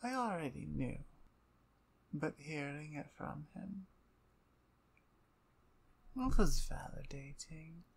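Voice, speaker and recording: Female vampire usurper , played by a woman, ASMR drama: low, unhurried, husky half-whispers, dominant, smug and mockingly courteous. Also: animated, exaggerated voice. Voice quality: breathy, husky. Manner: slow, taunting, mock-courteous, breathy half-whispers. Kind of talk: ASMR audio roleplay, dramatic monologue.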